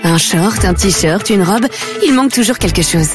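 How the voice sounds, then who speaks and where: A woman voicing radio commercials in a brisk promotional read. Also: mid-range voice, lively intonation, music in the background. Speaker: ad voice-over.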